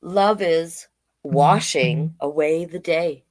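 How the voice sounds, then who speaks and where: A woman hosting a children's storytime online, reading deliberately and adding casual, chatty asides. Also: sits low, darkish tone. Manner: slow, deliberate read-aloud delivery with chatty asides. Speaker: drag king storytime host.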